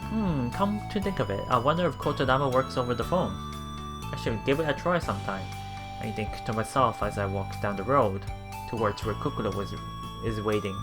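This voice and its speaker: A man hosting a let's play, reading visual novel text aloud haltingly, with casual asides. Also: medium pitch, over music. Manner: halting, stumbles and repeats words while reading.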